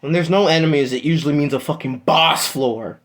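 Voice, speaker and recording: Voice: mid-range voice, fairly quick, lightly acted. A storyteller voicing a young male hero who is exasperated and swears constantly.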